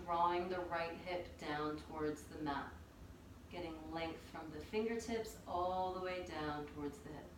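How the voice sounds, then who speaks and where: A woman teaching a yoga class, calmly guiding breath and movement step by step. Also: fairly low pitch, moderate pace. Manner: calm, gentle cueing of breath and movement. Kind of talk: guided yoga instruction. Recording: very echoey room, distant mic, faint noise.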